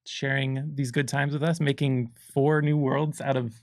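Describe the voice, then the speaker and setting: A man's voice: medium pitch, flat delivery, moderate pace, no echo, clean studio sound. A man talking as a guest game designer in a casual livestream chat, easygoing and wry. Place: indoor studio.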